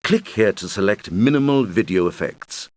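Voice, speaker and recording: A low-voiced male game guide, played by an actor, with very lively, swooping intonation. He explains things helpfully and simply, as if to children, in scripted tutorial style. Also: moderate pace, natural voice. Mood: instructive. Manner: instructional, clearly addressed to children.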